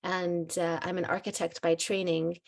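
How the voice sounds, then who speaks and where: A woman's voice: lowish voice, little pitch movement, moderate pace, creaky. A woman raised between Syria and the US, a nonprofit founder and CEO, presenting at an online conference in a friendly, conversational way with some restarts. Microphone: video call.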